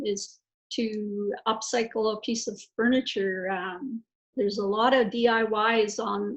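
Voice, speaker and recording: A woman presenter talks through practical wellbeing tips in a chatty, meandering way with frequent fillers. Her fairly high voice is gravelly and a little hoarse from allergies. The recording sounds dull and lo-fi. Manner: chatty, warm asides with many fillers. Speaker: mental health professional.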